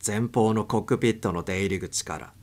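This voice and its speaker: A man's voice: lowish voice, fairly quick, noisy background. A man narrating a suspense story as a dramatic audiobook reading.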